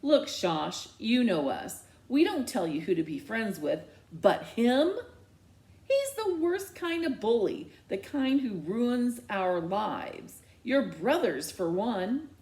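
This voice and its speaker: A woman's voice: mid-range voice, very animated, darkish tone, voice pushed up. A woman voicing a furious, protective mother in a storybook, stern and unforgiving, biting out emphatic words. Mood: exasperated. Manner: slow, emphatic, clipped commands.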